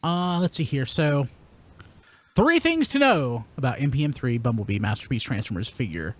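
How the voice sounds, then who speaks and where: A man hosting a casual panel podcast, reading out news items in a halting way and trailing off mid-sentence. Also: mid-range voice, sing-song.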